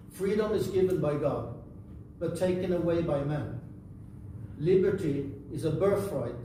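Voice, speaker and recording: A man who grew up in Bangladesh, a party leader giving a formal, earnest conference speech with measured pauses. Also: on the high side, mellow. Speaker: invited speaker, political party leader. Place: large conference room. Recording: echoey room, distant mic, noisy.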